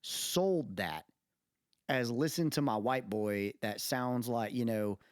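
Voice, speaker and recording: A man's voice: medium pitch, takes it slowly, no room sound, studio quality. A man hosting a podcast in casual, slangy conversation, opinionated and often checking that the listener follows him. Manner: opinionated, slangy, repeatedly seeks agreement with tag questions. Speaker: podcast host.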